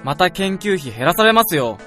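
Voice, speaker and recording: A man's voice: sits high, big pitch swings, moderate pace, music in the background. A man playing a nagging, exasperated junior lab colleague with an urgent manner in a comedic radio drama.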